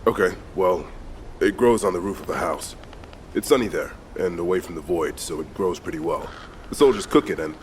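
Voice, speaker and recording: An actor playing an earnest, dutiful male support officer in a video game, speaking in a measured, polite way. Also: low-pitched, reverberant, slight background noise, close to an everyday voice. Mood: explaining, hesitant.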